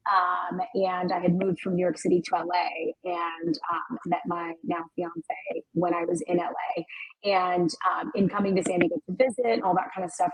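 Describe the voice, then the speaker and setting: A woman guest on a live-stream interview who was born in the Dominican Republic. Her voice is slightly husky, and the sound is close and dry, without room echo. She talks in a friendly, grateful way, often pausing on "um.". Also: on the low side. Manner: friendly, grateful, many "um" fillers. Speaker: guest, studio founder. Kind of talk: casual interview.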